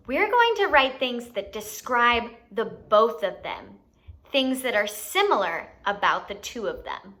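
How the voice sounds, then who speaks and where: Kids' story-time host, female, bright high voice, playful, guiding young children step by step with questions. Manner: enunciates for young children, asking them questions. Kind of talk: children's educational activity, instructing.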